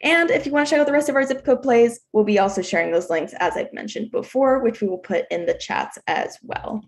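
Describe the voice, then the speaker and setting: A woman's voice: on the high side, fairly quick. An American woman giving a spoken welcome as host of an online webinar, heard over a video call. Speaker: event host, theater company marketing coordinator.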